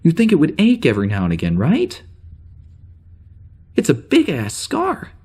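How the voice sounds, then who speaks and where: An actor playing a troubled starship officer with amnesia, halting and rambling as he records a log in a naturalistic audio drama. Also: on the high side, wildly swooping pitch, darkish tone, breathy edge, full character voice. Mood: agitated, bewildered. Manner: halting, rambling, self-interrupting.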